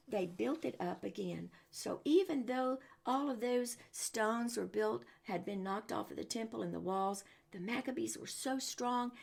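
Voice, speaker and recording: A woman's voice: fairly high pitch, gravelly, a little mellow, breathy edge. A woman reading a picture book aloud to children, adding exclamations and chatty questions to her young listeners as she goes. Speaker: storyteller and religious teacher for children.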